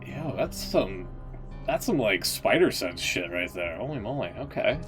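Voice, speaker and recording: Animated man streamer reacting over music. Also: fairly low pitch, a little slow. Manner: informal, slangy. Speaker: live streamer reacting to a game story. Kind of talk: casual reaction commentary. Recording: prominent music behind the voice.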